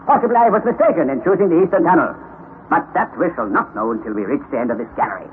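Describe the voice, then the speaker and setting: A man playing a determined, learned older uncle who leads an expedition in an old radio drama, with a brisk, authoritative manner. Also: on the high side, very animated. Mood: measured, conceding. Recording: tinny, noisy old radio recording.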